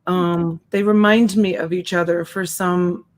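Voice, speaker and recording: A woman's voice: fairly low pitch, fairly even intonation, measured pace. A woman in her forties with a slight Boston accent, hosting a casual live-stream auction from home and greeting viewers by name with endearments. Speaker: live-stream seller and host. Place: home room with shelves. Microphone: desk USB mic.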